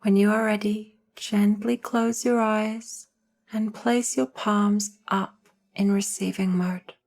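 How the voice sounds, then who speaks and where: Casting: female meditation guide, mid-pitched, very unhurried delivery, hushed and soothing, short phrases with generous pauses. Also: little pitch movement, vocal fry. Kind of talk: slow guided meditation narration.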